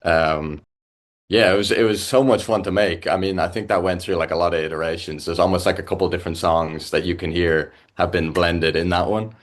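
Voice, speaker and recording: A man's voice: deep voice, steady tone. A young Irish man, a musician guest, chatting casually in an interview with lots of fillers and restarts. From Ireland. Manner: halting, many fillers and restarts.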